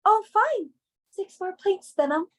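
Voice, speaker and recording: Woman playing a high-voiced, flustered society daughter. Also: a little slow. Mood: bright, eager. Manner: excitable, pleading, theatrical. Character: anxious, bungling young society daughter, eager and flustered. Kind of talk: dramatic reading of a stage comedy.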